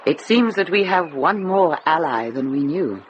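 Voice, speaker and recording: An actress playing a commanding older nun in a radio drama, brisk and dryly wry, kindly underneath. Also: female voice, sits low, expressive, dull audio. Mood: wry, steady. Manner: brisk, dry, authoritative. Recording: noisy ambience behind dialogue.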